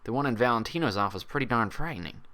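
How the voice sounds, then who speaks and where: Storybook mare physician performed by a man: gentle, hushed, worried, hesitant. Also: medium pitch, animated, fast talker. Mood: apprehensive. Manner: quiet, worried. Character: apprehensive, gentle female pony doctor friend.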